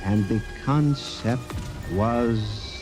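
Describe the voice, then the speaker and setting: A man's voice: on the low side, extremely slow. A man playing a desperate, haunted clerk who narrates his confession in a grave, theatrical 1950s radio drama style. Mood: ominous, awed. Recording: vintage radio broadcast with music behind.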